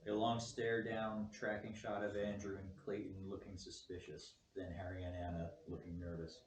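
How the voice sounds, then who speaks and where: A man's voice: fairly low pitch, flat delivery, normal speed. A man reading stage directions as scripted narration at a table read. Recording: echoey room, distant mic, clear noise.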